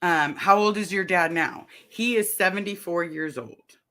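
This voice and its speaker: A woman's voice: lowish voice, unhurried, sharp tone. A middle-aged woman chatting casually on a live stream, reading viewer comments aloud and rambling through her answers. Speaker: vlogger answering live chat.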